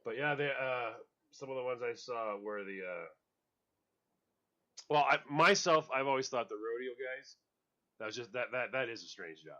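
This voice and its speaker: A man's voice: medium pitch, animated, moderate pace, fairly bright tone, dull audio. A male radio host in casual on-air banter, joking and laughing often as he tells stories.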